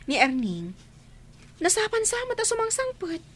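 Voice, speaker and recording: A woman's voice: very high voice, huge pitch swings, normal speed, heavily acted. A woman acting a gossipy, whiny, resentful young office worker in a radio comedy drama. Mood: nagging, gossipy.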